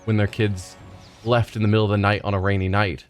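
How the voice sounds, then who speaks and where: A man's voice: lowish voice, little pitch movement, a little fast, faint music behind. A man hosting a let's play, thinking aloud hesitantly in casual commentary as he plays.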